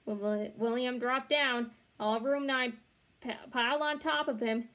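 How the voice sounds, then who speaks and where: A woman's voice: on the high side, steady tone, measured pace, a bit bright. A young woman reading a children's chapter book aloud expressively, with occasional stumbles and self-corrections. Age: young adult. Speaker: read-along storyteller. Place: small bedroom, indoors.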